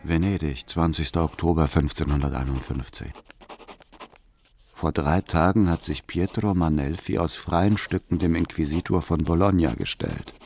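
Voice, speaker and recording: A man's voice: extremely low pitch, normal speed. A man reading a journal entry as scripted narration in a German radio drama.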